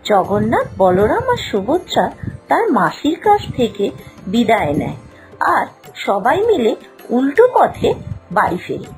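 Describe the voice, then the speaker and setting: Animated high-pitched woman storyteller. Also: measured pace, over music, poor audio. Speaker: narrator. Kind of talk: scripted children's moral story narration.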